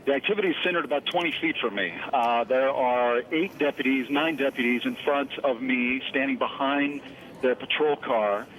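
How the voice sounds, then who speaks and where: An American man with a medium-pitched voice reports live from a scene over the phone. He describes what he sees as an eyewitness field reporter. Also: normal speed, fairly bright tone, rough recording.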